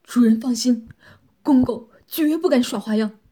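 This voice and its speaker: A woman's voice: medium pitch, flat delivery, takes it slowly, dark tone, breathy edge. A woman voicing a frightened, submissive man held captive in a dramatized audiobook, pleading in a servile manner. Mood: anxious obedience.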